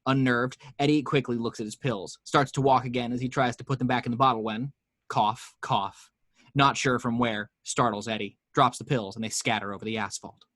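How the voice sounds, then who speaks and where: A man's voice: medium pitch, steady tone, moderate pace, a bit bright, no echo. A man narrating the action lines at a home table read, with chatty asides to the listeners.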